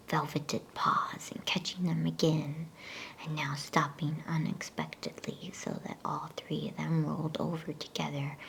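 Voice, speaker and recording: Slow, whispering woman reading bedtime stories. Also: low voice, vocal fry, rough recording. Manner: hushed, soothing, unhurried. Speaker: ASMR storyteller and channel host. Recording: faint background noise.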